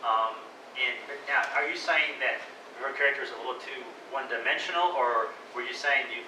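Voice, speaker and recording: A man's voice: mid-range voice, brisk. A man asking questions in a live feedback session, stumbling and repeating words. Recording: echoey room, distant mic, noise.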